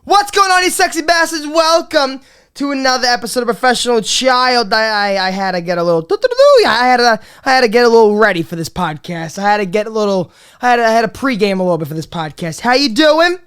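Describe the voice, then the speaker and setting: Guy hosting a comedy podcast, voice going, smooth clear tone, swears a lot and keeps restarting. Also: very high-pitched, sing-song, fast talker, a bit bright. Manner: rambling, profane, many restarts and repeats. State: losing his voice, a bit under the weather. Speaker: podcast host. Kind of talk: casual comedy podcast monologue.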